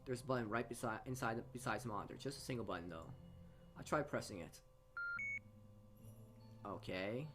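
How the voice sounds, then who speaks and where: A man's voice: medium pitch, brisk, long pauses. A man hosting a gaming video, reading a visual novel aloud with casual asides and often stumbling over or repeating words. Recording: music behind the voice. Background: game background music.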